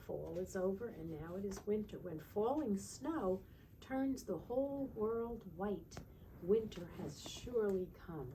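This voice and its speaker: Woman, children's storytime teacher. Soft-edged mellow tone, sing-song read-aloud with little questions to the listeners, slight background noise. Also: mid-range voice, animated, unhurried, poor audio.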